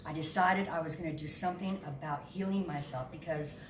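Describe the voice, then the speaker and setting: A woman in her fifties giving an animated, candid and emotional personal testimony as a guest speaker. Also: sits low, fairly quick. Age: 50s. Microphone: room mic at a distance. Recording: echoey hall from far off, noisy.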